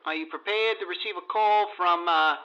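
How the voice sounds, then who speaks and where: An actor playing an official, halting county jail phone operator in a comedic audio drama. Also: male voice, sits high, clean tone, dull audio, natural voice.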